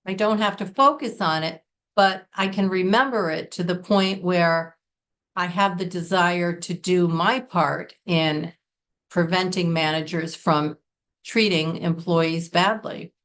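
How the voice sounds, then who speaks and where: A middle-aged American woman from Connecticut, giving an earnest, deliberate business English lesson and stressing key words. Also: lowish voice, fairly bright tone. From Connecticut, United States. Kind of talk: scripted lesson to camera.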